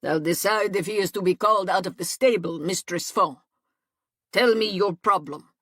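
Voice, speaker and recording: A woman voicing a big, gruff male knight who speaks curtly and sternly. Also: medium pitch, moderate pace, fairly bright tone, dry recording, studio quality.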